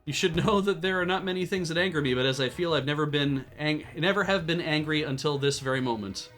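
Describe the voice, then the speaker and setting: A man voicing a proud, furious middle-aged male border baron in a video game, reading his lines in a haughty, loud manner. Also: a little high, fast, faint music behind, natural voice.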